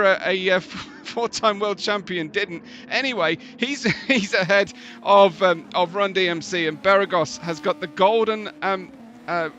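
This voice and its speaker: A man with a tired, gravelly voice commentating a sim racing live stream, chatting between bursts of race calls. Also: high voice, slight background noise. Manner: chatty, laughing asides, excitable during race action.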